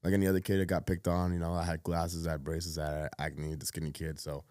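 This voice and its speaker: A man in his thirties, born in Cuba, telling his life story as a podcast guest in a relaxed way, with lots of fillers, often trailing off quietly. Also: low voice, fast, no room sound, clean studio sound. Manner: laid-back, many fillers, drops to a mutter at phrase ends. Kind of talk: casual storytelling chat.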